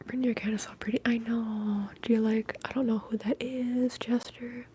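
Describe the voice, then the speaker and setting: A soft-spoken woman hosting an ASMR livestream, talking in a breathy, whispery hush and chatting casually with her viewers. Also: fairly high pitch, level tone, a little hiss or hum. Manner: hushed, unhurried casual talk.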